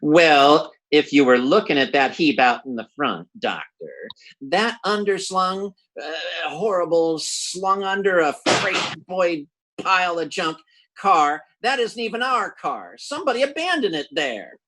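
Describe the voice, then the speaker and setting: A man's voice: fairly high pitch, animated, unhurried, fairly bright tone, a little hiss or hum, rough recording. A man playing a boastful, absent-minded husband in old-time radio comedy, stammering through his folksy bluster. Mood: indignant. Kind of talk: classic 1940s radio comedy acting.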